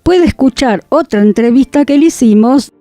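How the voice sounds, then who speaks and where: A woman's voice: high-pitched, animated, normal speed, dark tone, no room sound, faint music behind. A woman with a Buenos Aires accent, hosting a conversational radio interview in a chatty, explanatory way. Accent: Buenos Aires Argentine. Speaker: radio host. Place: radio studio.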